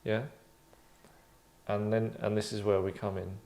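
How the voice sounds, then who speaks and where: A man's voice: on the low side, level tone, measured pace, gravelly, a little mellow. Hesitant man chatting with dry humour. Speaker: table read leader among actors. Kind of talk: casual, comic rambling chat.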